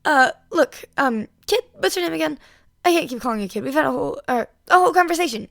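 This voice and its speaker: Woman putting on a loud, excitable male radio-host hero. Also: high-pitched, vocal fry, no room sound, clean studio sound, pitched above the performer's own voice, full character voice. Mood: flustered. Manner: exuberant, exclaiming, drawn-out words.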